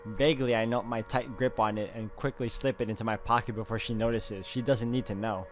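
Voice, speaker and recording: A man's voice: lowish voice, fairly even intonation, fairly quick. Man narrating a game over faint music. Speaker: gaming video host. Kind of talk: dramatic read-aloud narration with reactions.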